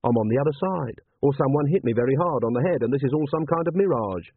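A man voicing a gruff, cynical police commander in an audiobook, with a blunt, sardonic manner. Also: medium pitch, lively intonation, quick pace, dry recording, voice pushed up. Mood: bewildered. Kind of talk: audiobook character voice. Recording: narrow-band, phone-like sound.

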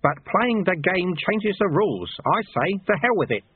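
A man's voice: high voice, big pitch swings, normal speed, breathy edge, phone-quality sound, pitched above the performer's own voice, heavily acted. A man voicing a sly, cheerful old monk and martial-arts master who talks in a cheeky, colloquial, teasing way, in an audiobook. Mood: smug, cheerful.

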